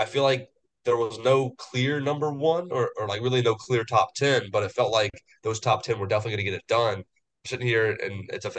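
Co-host guy, kinda nasal from a cold, lowish voice, talks fast. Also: fairly bright tone, low-quality recording. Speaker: podcast co-host. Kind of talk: casual sports podcast chat.

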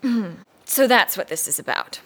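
A woman's voice: medium pitch, expressive, moderate pace, a bit bright, husky. An actress playing a guarded married mother in an audio drama, curt and defensive as she is questioned. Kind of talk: scripted audio drama dialogue.

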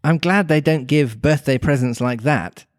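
A man voicing a polite, curious storybook girl who can be a little sharp. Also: mid-range voice, moderate pace, dry recording, clean studio sound, lightly acted. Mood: polite, doubtful. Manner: polite, prim.

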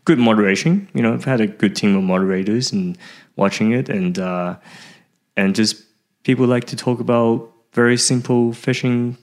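A middle-aged man from Taiwan chatting casually as an interview guest, often halting and repeating words. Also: medium pitch. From Taiwan, later Australia and Canada. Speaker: podcast guest, fishing website founder.

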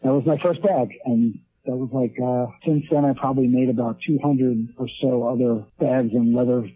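A man's voice: mid-range voice, steady tone, normal speed, husky, dry recording. A man in his late thirties talking casually in an interview, rambling with many restarts and fillers. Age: 30s. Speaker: leatherwork artist guest. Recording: tinny phone line.

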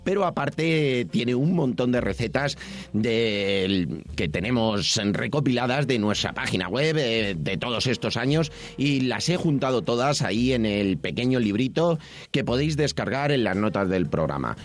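A man's voice: mid-range voice, animated, moderate pace. Upbeat man talking tea. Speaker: tea shop founder, podcast guest. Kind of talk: prepared spoken segment.

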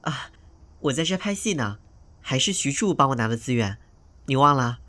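A man's voice: medium pitch, expressive, normal speed, sharp tone. A man playing an ingratiating young male actor, sweetly wheedling and falsely meek, in an audio drama. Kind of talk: Chinese audio drama acting.

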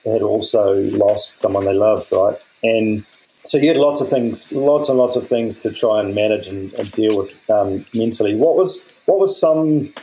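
A man's voice: on the low side, normal speed, darkish tone, rough recording. A man hosting an interview podcast, enthusiastic, often repeating words as he asks his questions. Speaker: podcast host.

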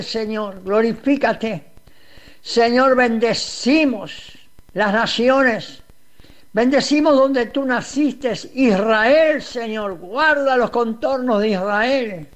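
A man leading a fervent radio prayer, pleading and repeating the address to God. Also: very high-pitched. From Uruguay. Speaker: radio preacher.

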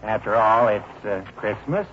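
An actor playing a gruff but kindly older small-town station master, with folksy rural speech, in old-time radio drama style. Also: male voice, lowish voice, expressive, measured pace. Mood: coaxing. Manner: folksy rural speech, calls people son and ma'am. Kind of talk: 1940s-50s radio drama acting. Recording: muffled, very noisy old radio recording.

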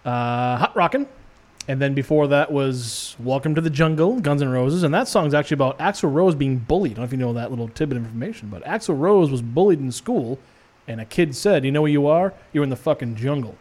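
A man's voice: medium pitch, lively intonation, brisk, a little mellow. A man hosting a hard rock radio show, chatting casually with rambling jokes and frequent laughs in a studio. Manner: rambling, joking, laughs often. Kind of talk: casual on-air banter.

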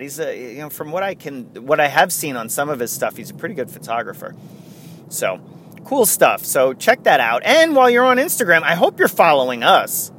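A man's voice: mid-range voice, a bit bright. A man with a New York accent hosting his own podcast in a rambling, casual way, chuckling and restarting his sentences. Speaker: podcast host. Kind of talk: casual solo podcast chat.

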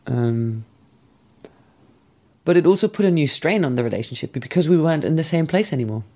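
Man, gravelly, reflective, measured, candid personal story. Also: a little high, animated, mellow. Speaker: interviewee recounting a relationship.

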